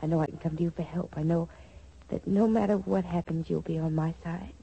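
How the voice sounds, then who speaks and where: Very soft, mellow devoted daughter. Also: female voice, on the low side, fairly even intonation, fairly quick, gravelly, husky, heavily acted, poor audio. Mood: trusting affection. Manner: earnest, affectionate. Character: devoted, happy grown daughter, trusting and eager. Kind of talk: 1940s radio soap acting.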